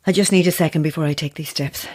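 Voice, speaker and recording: A woman's voice: low-pitched, steady tone, fast, dry recording. A woman playing a sceptical, guilt-ridden novelist in an audio horror drama. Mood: guilty, confessional.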